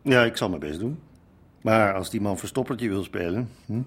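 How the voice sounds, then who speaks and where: An actor playing a calm, shrewd adviser in a radio play, speaking in a dry, measured way. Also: male voice, on the low side, slight background noise, close to an everyday voice. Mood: advising.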